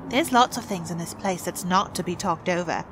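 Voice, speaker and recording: An actress playing a kindly young housemaid, telling things in a homely, gossipy way. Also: female voice, fairly low pitch, normal speed, noisy background, natural voice. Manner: gossipy, homely dialect storytelling.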